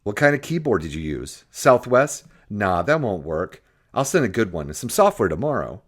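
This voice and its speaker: Man acting brash young salesman, lively pitch. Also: normal speed. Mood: brisk, dismissive. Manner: pushy, clipped salesman patter. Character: brash fast-talking young male computer salesman. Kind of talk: audiobook character voice.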